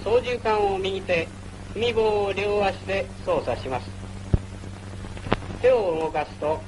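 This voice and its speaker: A man's voice: high-pitched, takes it slowly, noisy background. A man narrating a wartime military training film in a formal, instructional manner, on an old film soundtrack. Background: music.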